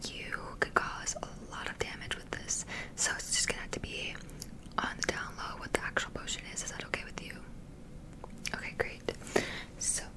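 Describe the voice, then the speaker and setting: A young woman whispering an ASMR roleplay as a witch teacher, gently encouraging and instructional, close to the microphone. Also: moderate pace, rough recording. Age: young adult. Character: friendly witch teaching spells and potions to a beginner.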